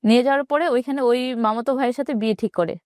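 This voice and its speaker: A woman's voice: fairly high pitch, rapid-fire, a little mellow, dry recording, clean studio sound. A woman in her twenties with a Bangladeshi accent, telling her life story plainly as an interview guest. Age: 20s.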